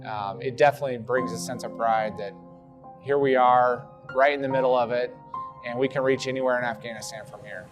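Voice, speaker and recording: A man's voice: mid-range voice, fairly even intonation, fairly quick. A man serving on a military drone crew, explaining his work in an interview. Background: plucked guitar music.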